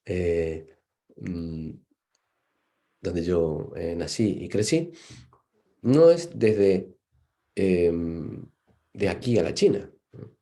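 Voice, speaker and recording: A man's voice: deep voice, expressive, very slow, pauses often, warm tone. A man from Argentina with an Argentine accent, giving a seminar lecture as a psychologist, thoughtful and full of fillers and "¿no?" tags. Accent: Argentine Spanish.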